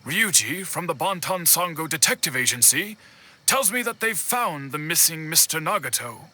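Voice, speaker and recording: Earnest game character: articulate, deliberate, highish and animated, very bright, light music behind. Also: male voice, a little hiss or hum. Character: earnest, expressive man reporting news. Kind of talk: scripted game dialogue.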